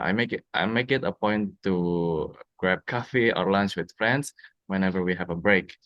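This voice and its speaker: Young male student, Indonesian accent, lowish pitch, dry close sound, prepared answers. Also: fairly bright tone, no echo. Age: young adult. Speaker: university student. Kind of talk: prepared question-and-answer speaking exercise.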